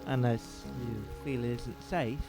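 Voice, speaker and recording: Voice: medium pitch, steady tone, measured pace, lightly acted, rough recording. A performer voicing a sensible, protective young male rabbit, earnest and reassuring, in a comic dub. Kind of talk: animated comic dub of a novel. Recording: music behind the voice. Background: music.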